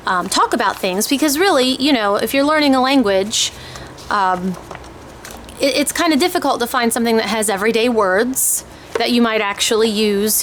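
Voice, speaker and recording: Higher-voiced woman, informal and hesitant. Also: a bit bright. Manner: informal, hesitant, thinking aloud. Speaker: homeschooling parent reviewing language kits. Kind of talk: casual product overview, explaining. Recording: clear background noise.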